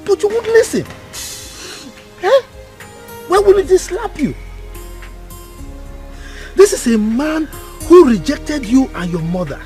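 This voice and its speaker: An actor playing a protective uncle who scolds loudly and stammers when angry. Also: male voice, sits high, sing-song, normal speed, pauses often, soft background music. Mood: scolding.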